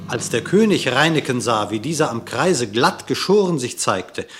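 Male narrator with a medium-pitched voice. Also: expressive, normal speed, over music. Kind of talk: literary scripted narration in verse.

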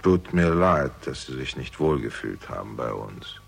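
An older patriarch of a crumbling house in a radio thriller. The voice is extremely low, creaky and husky, and he speaks in measured, formal phrases with quiet menace. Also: lively intonation, exaggerated voice. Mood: guarded, controlled. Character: older father, composed, menacing guardian of a decaying house. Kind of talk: German radio crime drama acting.

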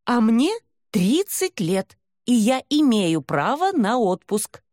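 A woman's voice: on the high side, sing-song, takes it slowly, darkish tone, no room sound, studio quality, pitched above the performer's own voice. A woman voicing a nervous but stubborn thirty-year-old daughter, determined and defensive, in an audiobook. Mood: defiant. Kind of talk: audiobook character dialogue.

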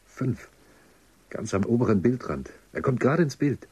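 An actor playing a defiant, dryly ironic sacked thinker in a dystopian radio drama, with a husky, insistent delivery. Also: male voice, mid-range voice, expressive, normal speed, darkish tone. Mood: hushed, intent. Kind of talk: German radio drama acting.

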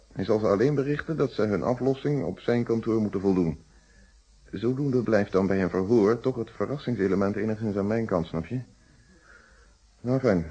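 An actor playing a calm, principled middle-aged police inspector in a Dutch radio detective play, speaking in formal, articulate explanations. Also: male voice, on the low side, breathy edge. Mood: businesslike. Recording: dull, muffled old radio recording.

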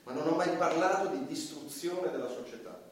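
A man's voice: fairly high pitch, moderate pace, close to an everyday voice. Guy acting as fiery rebel comedian on trial, preachy n emphatic, stage play recorded from far off, bit of hiss. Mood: defensive, insistent. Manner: emphatic, repetitive, preaching against hypocrisy and power. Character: defiant, impassioned male satirical comedian on trial. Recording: echoey distant stage recording, faint noise.

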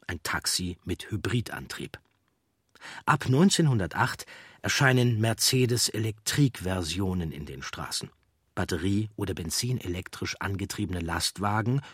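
A man reads scripted documentary narration in a measured, factual way. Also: on the low side, slightly rough, dry recording, clean studio sound.

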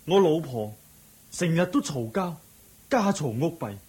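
An anguished younger family man in a radio drama, high for a man and very husky. His Hong Kong Cantonese is earnest and plaintive, with emotional swings in pitch. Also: expressive, measured pace, darkish tone, exaggerated voice.

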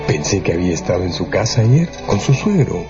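Urbane teasing journalist, deep, animated, insinuating, radio drama, dull recording. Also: male voice, brisk, over music. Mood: sly, probing. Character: worldly, teasing society journalist, slyly probing.

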